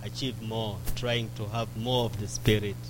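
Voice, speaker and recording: Monotone guy giving a churchy greeting, humming noise behind. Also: on the low side, moderate pace. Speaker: visiting church member.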